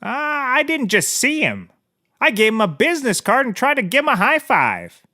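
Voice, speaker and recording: A man's voice: extremely high pitch, big pitch swings, normal speed, sharp tone, exaggerated voice. An actor playing a shameless, boozy private investigator in a scripted comedy, rambling and oblivious as he gives courtroom testimony. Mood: offhand. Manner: rambling, casually confessing, oblivious.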